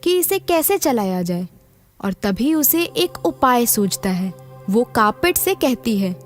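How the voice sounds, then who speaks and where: A woman tells a scripted children's story in a high voice with very lively, swinging intonation. Soft music runs behind the narration. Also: slow.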